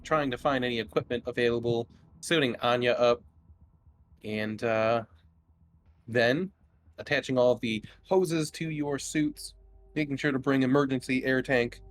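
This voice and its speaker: A man's voice: mid-range voice, a little hiss or hum. A man running a tabletop roleplaying game as game master, narrating casually.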